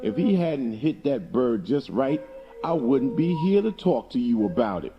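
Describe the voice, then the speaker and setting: A man's voice: mid-range voice, sing-song, a little slow, dull audio, music in the background. A man voicing a grateful, fair-minded father in a folktale.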